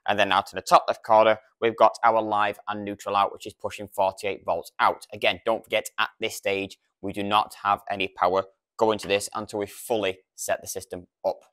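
A man's voice: fairly low pitch, little pitch movement, fairly quick, a bit bright, clean tone. British man giving a practical tutorial. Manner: practical, explanatory. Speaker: product demonstrator. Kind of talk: informal step-by-step tutorial. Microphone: lavalier lapel microphone.

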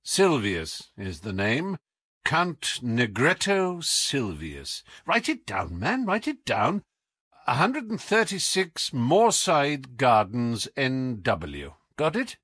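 A man's voice: mid-range voice, huge pitch swings, takes it slowly, fairly bright tone, dry recording, exaggerated voice. A man reading an audiobook as a whimsical, imperious detective with a British accent, theatrical, commanding and wry. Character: brilliant, whimsical, imperious male English detective.

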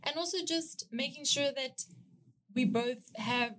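A woman's voice: sits high, unhurried, a bit bright. A woman in her thirties with a South African accent, a guest talking business partnership in a casual podcast chat. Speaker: guest, brand strategist.

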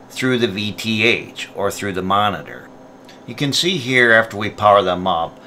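A man's voice: fairly low pitch, pushed and bright, a little hiss or hum. A man presenting a product tutorial, explaining each step in a plain, methodical way. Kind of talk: step-by-step instructional narration.

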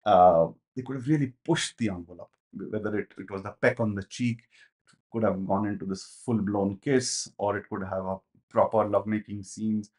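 Man reviewing a film, low voice. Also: normal speed. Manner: animated, gestures a lot, thinks aloud with many fillers and restarts. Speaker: movie reviewer. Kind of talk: casual spoken film review. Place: small home studio, indoors.

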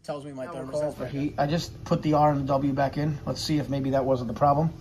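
A man's voice: mid-range voice, flat delivery, brisk, a little mellow, poor audio. A man working as an HVAC technician, talking casually to viewers through a mask while fixing a heating system, swearing now and then. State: wearing a mask. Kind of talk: casual ride-along vlog. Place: homes, boiler room, then a car.

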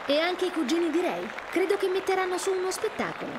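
An actress playing a sweet, hopeful, gracious young woman in an animated video game. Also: extremely high pitch, fast talker, crowd noise, background noise. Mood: warm delight.

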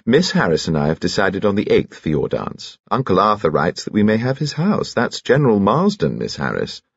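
A man's voice: lowish voice, very animated, normal speed, creaky, breathy edge, no echo, highs cut off, voice pushed up, full character voice. A man voicing a tired, anxious society lady who is also a mother, gushing yet weary in manner.

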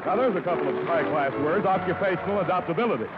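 An American male announcer in 1940s radio style. His voice is notably high as he delivers scripted copy. The old broadcast sounds tinny and narrow. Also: fast talker.

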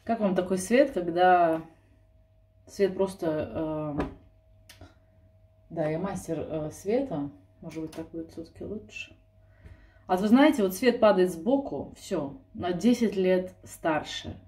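A woman's voice: fairly low pitch, slow, pauses often, background noise. A woman, chatting spontaneously and a little self-ironically to camera from her home kitchen. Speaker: vlogger. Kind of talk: spontaneous casual chat to camera. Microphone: camera or phone on the table.

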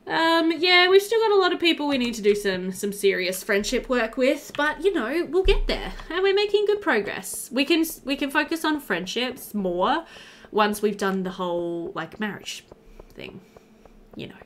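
A woman hosts a gaming video in a casual, easygoing way. Her pitch moves up and down a lot as she chats and thinks aloud. There is some noise with clicks behind her close mic. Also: big pitch swings, moderate pace, fairly bright tone. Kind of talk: casual chatty let's-play commentary.

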